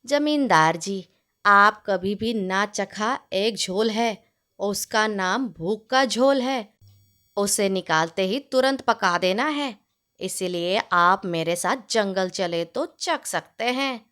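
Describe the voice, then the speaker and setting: A woman's voice: mid-range voice, animated, slow pace, fairly bright tone, voice pushed down. A woman voicing a clever, patient male gardener in a storybook tale, explaining things respectfully to his master. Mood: respectful, persuasive. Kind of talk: storybook character voice in narrated tale.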